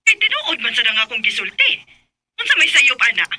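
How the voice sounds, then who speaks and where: A woman's voice: fairly high pitch, wildly swooping pitch, a little fast, bright, forceful tone, no room sound, full character voice. An actress with a Cebuano Filipino accent playing a meddling, complaining mother-in-law in a radio drama. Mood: indignant, scornful. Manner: complaining, insistent. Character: nagging, meddling older mother-in-law stirring up trouble. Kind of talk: Bisaya radio drama acting.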